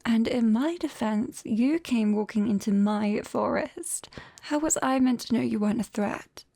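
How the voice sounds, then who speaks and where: A British woman playing a clumsy, caring young witch in an ASMR roleplay, whispering softly and hesitantly, close to the mic. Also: on the high side, a little slow, no room sound. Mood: defensive, sheepish. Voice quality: soft, breathy whisper. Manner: hesitant, coaxing, gently apologetic. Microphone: close binaural microphone.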